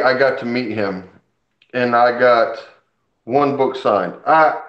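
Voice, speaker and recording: A man from Puerto Rico, Spanish his first language, casually chatting as host about comics with enthusiastic, rambling stories. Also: medium pitch. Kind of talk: casual chat. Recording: dull roomy sound.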